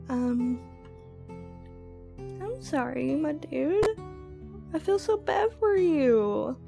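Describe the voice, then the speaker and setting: A woman hosting a game roleplay, improvising casually in a halting, apologetic, rambling way. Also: high voice, gravelly, mellow. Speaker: gaming roleplay host.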